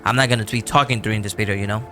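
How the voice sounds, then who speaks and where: A man losing his voice from illness talks casually to his viewers, apologetic and rambling, clearing his throat often. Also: on the low side, fairly even intonation, very fast, over music. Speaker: gameplay YouTuber. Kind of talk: casual talk to viewers before gameplay.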